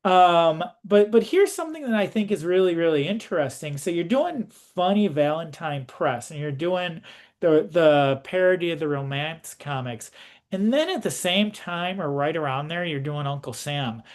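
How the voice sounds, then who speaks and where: Male interviewer, somewhat high voice, informal, halting roundabout phrasing, casual conversation. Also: animated.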